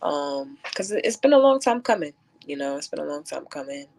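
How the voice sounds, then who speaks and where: A woman's voice: sits low, sing-song, brisk, gravelly, darkish tone. A woman guest who is a spoken word poet, moving between casual talk and rhythmic, declamatory verse. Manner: declamatory, rhyming delivery when reciting.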